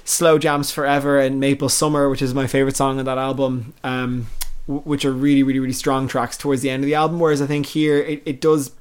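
A man's voice: mid-range voice, flat delivery, fast talker, clean tone. A man, chatting casually and enthusiastically as a music podcast host reviewing albums.

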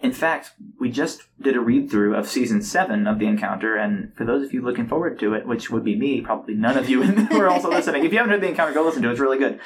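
A young man hosts a casual podcast conversation in a mid-pitched voice. He speaks with enthusiasm and often feels his way through a sentence. Faint noise sits behind him. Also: fast talker, few pauses. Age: young adult. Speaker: podcast host. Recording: noticeable background noise.

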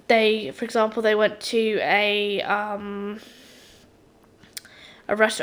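A young woman talks alone on her podcast in a casual, rambling way. Her voice is croaky because she is unwell, and it sits a little higher than most. She fills pauses with ums and restarts her sentences often. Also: fairly bright tone. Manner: chatty, with many ums and restarts. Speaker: podcast host. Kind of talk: casual solo podcast monologue.

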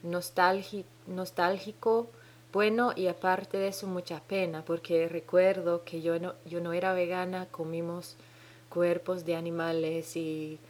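A woman's voice: fairly low pitch, little pitch movement, a little slow. A woman hosting her own podcast with a Dominican-tinged Spanish accent, talking alone in a hesitant way, often stopping to search for words and restarting. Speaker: podcast host. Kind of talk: solo podcast monologue. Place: home in New Zealand.

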